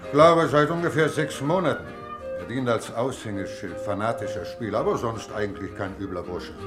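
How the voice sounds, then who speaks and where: An actor playing an eager, deferential police sergeant in a German radio crime drama. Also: male voice, on the low side, a little fast, loud music behind the voice, close to an everyday voice. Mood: curious, obliging.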